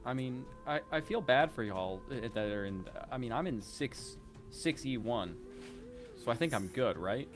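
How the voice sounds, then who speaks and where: Oblivious townsman in a tabletop game, played by a man: awkward, hesitant, ordinary pitch. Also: expressive, moderate pace, over music, natural voice. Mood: uneasy, oblivious.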